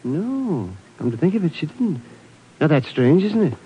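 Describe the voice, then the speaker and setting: A doddering, fussy professor from an old radio play. He mutters and repeats himself in a muddled way, pitch swooping, on a dull, hissy recording. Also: very animated, normal speed, mellow. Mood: muddled, fretful. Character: doddering, absent-minded fussy male professor. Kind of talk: 1950s radio drama acting.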